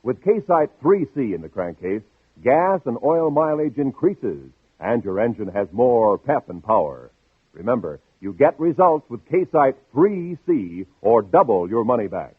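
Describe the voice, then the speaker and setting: A man's voice: mid-range voice, sing-song, measured pace, slightly rough, no room sound. An American man reading a radio commercial in an emphatic, old-fashioned announcer style. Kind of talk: vintage radio advertisement, emphatic sales read. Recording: narrow, dry old radio recording.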